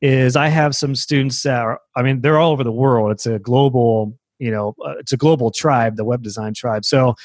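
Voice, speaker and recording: A man talks through his course-building approach in a loose, conversational way, full of fillers and self-corrections. His voice sits at an ordinary middle pitch. The recording is dry and close, with no room sound. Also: moderate pace, few pauses, no echo. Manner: conversational, explains at length with fillers and restarts. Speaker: web design course creator. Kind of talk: casual podcast conversation.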